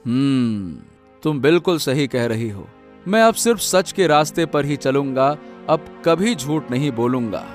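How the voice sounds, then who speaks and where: A man's voice: medium pitch, expressive, a little slow, faint music behind, close to an everyday voice. A man playing a humble, honest poor village husband in a Hindi cartoon story, speaking earnestly with hesitant interjections. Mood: resolute.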